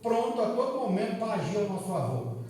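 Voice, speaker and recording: A man with a Brazilian Portuguese accent preaching an evening sermon, asking rhetorical questions and swinging between quiet and loud appeals. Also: high voice, sing-song, fast. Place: large echoing church hall. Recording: echoey hall from far off.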